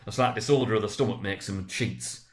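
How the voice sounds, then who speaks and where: Man playing sharp, blustering old miser. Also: on the low side, brisk, close to an everyday voice. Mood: defiant. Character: caustic, sceptical old male miser. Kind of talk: dramatic storybook reading.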